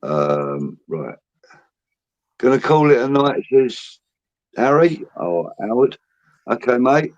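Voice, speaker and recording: A man of middle pitch hosts a loose chat show, passing along viewers' messages and jokes. His delivery is relaxed and offhand. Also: slow, long pauses, no echo, dull audio. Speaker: host reading viewer comments. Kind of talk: casual chat.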